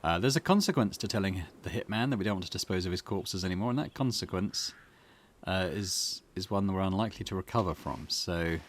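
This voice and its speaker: A man's voice: deep voice, fairly quick, a little hiss or hum. A man hosting a game playthrough, musing casually and rambling as he reads options aloud. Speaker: gaming channel host.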